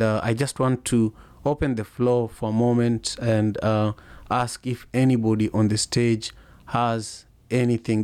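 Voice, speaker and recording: A man hosting a podcast in a relaxed, casual chat, speaking haltingly with many fillers and restarts. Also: medium pitch, clear, resonant tone, no room sound. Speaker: podcast host. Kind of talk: casual live audio-room chat.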